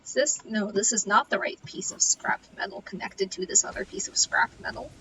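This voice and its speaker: A woman's voice: medium pitch, lively intonation, a little fast, far from the mic, background noise. A woman chatting casually while streaming a video game, with a playful, silly manner and a habit of repeating phrases in a singsong. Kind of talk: casual gameplay chat.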